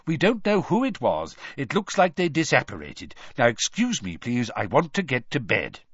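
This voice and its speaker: A man's voice: mid-range voice, sing-song, moderate pace, no echo, distant mic, voice pushed up. Audiobook father, acted by a man: middle-aged, kindly, harried, firm, pressing, dry slightly dull recording. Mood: impatient. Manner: quiet, urgent, impatient.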